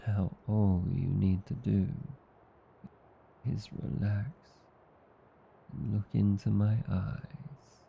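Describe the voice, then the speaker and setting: An Australian man playing a gentle, affectionate vampire husband in an ASMR roleplay, coaxing and hypnotically soothing in a measured, commanding way. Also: lowish voice, level tone, gravelly, slight background noise, full character voice. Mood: soothing, hypnotic. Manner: soothing, coaxing, measured, sometimes dropping to a whisper. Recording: muffled, roomy sound with long reverb.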